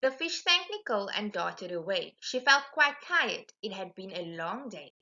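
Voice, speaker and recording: A woman reading a rhyming children's storybook aloud in an animated, emphatic way. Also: mid-range voice, moderate pace, muffled recording. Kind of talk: expressive rhyming storybook narration.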